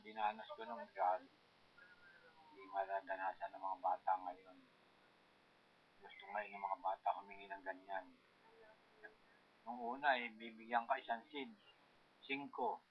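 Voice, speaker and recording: A man's voice: medium pitch, lo-fi sound. An older man, reminiscing casually in a rambling, colloquial, demonstrative way. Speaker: farmer recalling his working life.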